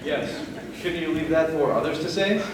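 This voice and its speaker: A man's voice: a little high, normal speed, lightly acted. A man acting in a stage play as a dry, disapproving middle-aged brother, matter-of-fact in manner. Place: stage in a large hall, far from microphone. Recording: distant, echoey, noisy stage recording.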